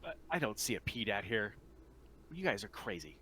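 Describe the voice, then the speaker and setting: A man's voice: lowish voice, very animated, normal speed, sharp tone, husky. A man acting as game master, casually narrating and refereeing a tabletop roleplaying game.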